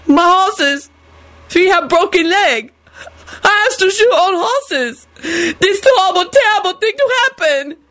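Lady doing sulky storybook buggy driver guy, broken english, pitched up. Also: lively intonation, slow, fairly bright tone, heavily acted. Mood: tearful. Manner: broken English, put-upon.

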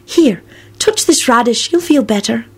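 Woman as a high, earnest, quirky girl. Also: animated, unhurried, fairly bright tone, husky, heavily acted. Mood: consoling. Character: dreamy, earnest, quirky young woman, easily indignant.

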